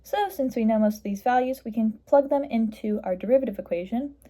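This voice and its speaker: A woman's voice: on the high side, fairly quick, dark tone. A woman explaining a worked math problem step by step in a matter-of-fact, instructional way, like a tutor.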